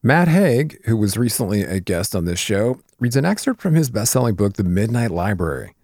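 Deep, very dry, lively male announcer. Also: normal speed, dry recording. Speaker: podcast announcer. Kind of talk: scripted promotional read.

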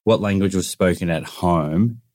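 A man's voice: deep voice, a little slow, a little mellow, dry recording, clean studio sound. A man hosting a podcast, asking casual questions with many restarts and repeated words. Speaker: podcast host.

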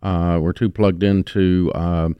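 A plainspoken man hosting a talk show, blunt in how he puts things. His voice sits very low. The recording is dry and close. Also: level tone, takes it slowly, clean tone, no echo, dull audio. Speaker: radio show host. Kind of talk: casual talk show conversation.

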